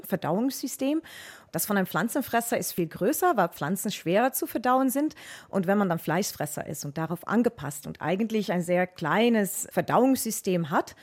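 Dutch-accented woman explaining, medium pitch. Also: animated, moderate pace. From Netherlands. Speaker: zoologist, mammal expert. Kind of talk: explanatory interview answers.

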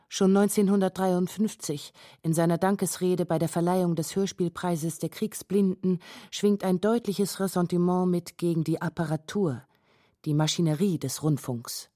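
A woman's voice: lowish voice, mellow, no room sound, studio quality. A woman reading scripted narration for a documentary.

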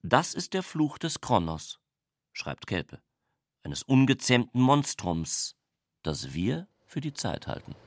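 Slow literary reader with swooping intonation. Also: mid-range voice, big pitch swings, no echo, studio quality. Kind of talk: literary reading, scripted narration.

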